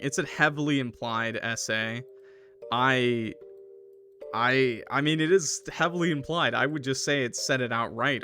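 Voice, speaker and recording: A man chatting casually on a livestream as a gamer, halting and hesitant, with a self-deprecating manner. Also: medium pitch, bright, forceful tone. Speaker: streamer playing and reviewing a game. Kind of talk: casual livestream chat, reacting to a game and viewer comments.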